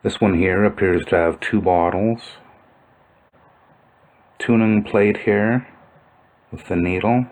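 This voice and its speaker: A man with a low-pitched voice narrates a video in an informal, explanatory style. He muses as he goes, thinking aloud as he works through what he is examining. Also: fairly even intonation, takes it slowly, long pauses, a little mellow. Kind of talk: informal explanatory commentary over old photos.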